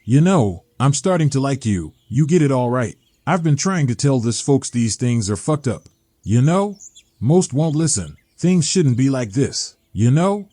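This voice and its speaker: A man's voice: medium pitch, very animated, measured pace, warm tone, no room sound. A man playing an agitated, embittered homeless middle-aged ex-teacher, blunt, folksy and profane, in a dramatized comic.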